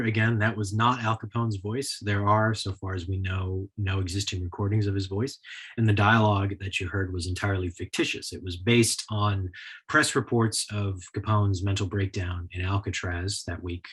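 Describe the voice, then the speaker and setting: A man giving a prepared history lecture in a measured, explanatory way, with a husky voice. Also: fairly low pitch, fairly even intonation, fairly bright tone.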